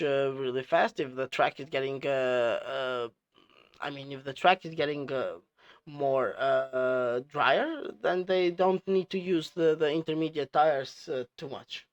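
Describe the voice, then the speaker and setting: A man who is a non-native English speaker hosting casual commentary, explaining things in a halting, repetitive way. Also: medium pitch, fairly bright tone, no echo. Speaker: host reviewing team radio clips.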